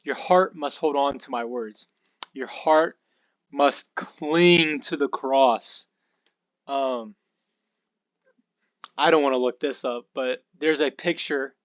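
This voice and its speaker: A young man with a slightly hoarse voice hosts a podcast, talking through a Bible passage in a relaxed, rambling way. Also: mid-range voice, no room sound, lo-fi sound. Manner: relaxed and rambling, with fillers and asides. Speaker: podcast host. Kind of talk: casual Bible study talk.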